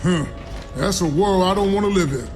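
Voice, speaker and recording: An actor playing a tough young soldier with a dry storytelling manner in a video game cutscene. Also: male voice, high voice, normal speed, darkish tone, faint music behind, lightly acted. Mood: uneasy.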